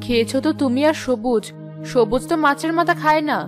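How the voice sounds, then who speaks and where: Lady playing a motherly cartoon mom, highish voice, insistent and protective, music behind. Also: fairly quick, close to an everyday voice. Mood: insistent, indignant. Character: doting, protective mother and mother-in-law, middle-aged. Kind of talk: animated moral story voice acting.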